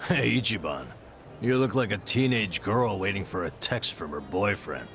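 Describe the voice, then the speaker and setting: An actor playing an easygoing, teasing drinking buddy in a video game cutscene. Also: male voice, fairly low pitch, expressive, normal speed, fairly bright tone, soft background music. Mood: teasing, relaxed.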